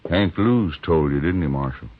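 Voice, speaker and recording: An actor playing a grim, defensive frontier homesteader in a 1950s radio western, with an American accent and a husky, halting rustic delivery. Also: male voice, extremely low pitch, expressive, no room sound. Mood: bitter, subdued. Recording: muffled, band-limited old radio recording.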